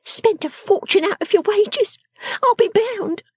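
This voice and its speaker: Shrill, bossy little shrew girl. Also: a little slow, no echo. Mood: bossy, scolding. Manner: scolding, snappish, emphatic. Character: shrewish, bossy, sickly young girl dressmaker, sharp-tongued. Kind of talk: storybook character acting.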